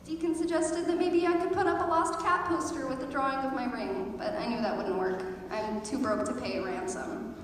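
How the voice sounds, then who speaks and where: Teenage girl, high voice, very echoey hall. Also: moderate pace. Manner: dramatic, comically overstated. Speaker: high school theater student performer. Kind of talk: scripted personal storytelling monologue on stage. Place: large echoey indoor performance space. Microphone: distant camera microphone. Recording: very echoey hall, distant, faint noise.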